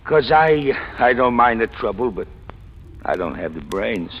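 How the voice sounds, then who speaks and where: An actor playing a talkative, self-deprecating ex-convict in an old radio crime drama, with a gravelly, folksy, slangy delivery. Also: male voice, medium pitch, very animated, measured pace. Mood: confiding, amused. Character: talkative, self-deprecating ex-convict stick-up man, cheerfully fatalistic. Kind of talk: 1940s-50s radio crime drama acting. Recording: muffled, hissy old radio recording.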